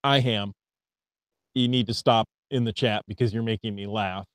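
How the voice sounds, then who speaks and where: A man's voice: lowish voice, fairly even intonation, normal speed, no echo. Upbeat American man co-hosting a podcast. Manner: upbeat, reads listener emails aloud, stumbles on foreign words. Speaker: podcast co-host. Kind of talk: casual podcast chat.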